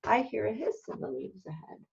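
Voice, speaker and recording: A woman's voice: a little high, lively intonation, normal speed, creaky, a little mellow, slightly rough, muffled recording, exaggerated voice. Woman putting on a big gullible storybook monster. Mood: wary. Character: big ugly male monster, gullible, hungry, easily amazed.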